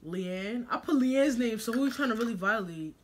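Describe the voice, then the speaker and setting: A young woman hosting a vlog, chatting with strangers in loud, joking slang full of trash talk. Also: a little high, lively intonation, moderate pace. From New York. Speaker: vlogger host. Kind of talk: casual chat, video chatting with strangers.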